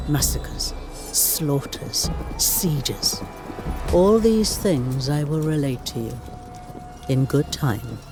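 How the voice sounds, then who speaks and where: A man playing a solemn ancient chronicler, narrating history for a video game in a grave, theatrical storytelling manner. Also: on the high side. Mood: ominous. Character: male ancient chronicler recounting war with solemn relish. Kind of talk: dramatic scripted historical narration. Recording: studio voice-over under prominent music.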